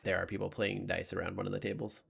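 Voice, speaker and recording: Low, creaky-voiced male game master. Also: little pitch movement, quick pace, a bit bright. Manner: informal, explanatory. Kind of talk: tabletop narration and casual commentary.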